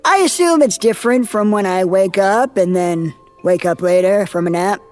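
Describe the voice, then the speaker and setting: A woman voicing a naive, excitable young male hero in an anime parody dub, goofy and dramatic. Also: mid-range voice, moderate pace, soft background music, close to an everyday voice. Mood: naive, curious.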